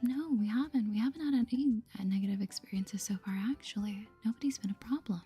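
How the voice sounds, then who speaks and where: Woman as young shopkeeper, breathy whisper. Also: mid-range voice, fast, mellow, soft background music. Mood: careful. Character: young shopkeeper heroine, polite, hesitant, eager.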